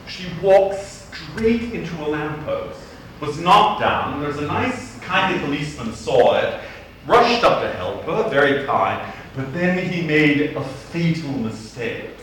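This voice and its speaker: A man's voice: fairly high pitch, animated, fairly bright tone, slight background noise. A middle-aged man with a Boston accent, an archdeacon telling wry stories in a humorous sermon. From Boston, USA. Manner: wry anecdotal storytelling, slow deliberate delivery. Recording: roomy church sound from a distant mic.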